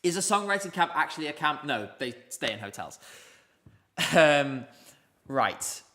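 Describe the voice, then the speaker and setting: A man's voice: on the high side, very animated, measured pace, pushed and bright. A man hosting a live stream, chatting casually and rambling with many ums as he reads out viewer comments. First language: English. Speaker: livestream host. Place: indoors, streaming from Basel.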